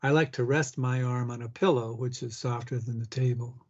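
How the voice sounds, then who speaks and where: A man gives gentle, step-by-step meditation guidance in a mid-pitched voice. He speaks in short, unhurried phrases with pauses between them. The recording is a little dull and lo-fi. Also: steady tone. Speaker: meditation guide. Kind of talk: guided meditation, gentle instruction.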